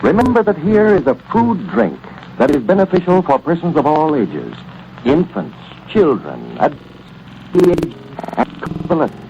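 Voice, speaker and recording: A man's voice: medium pitch, a little mellow, slight background noise. Persuasive vintage radio announcer, a man. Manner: crisp, persuasive announcer delivery. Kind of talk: scripted radio commercial. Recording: muffled vintage radio recording.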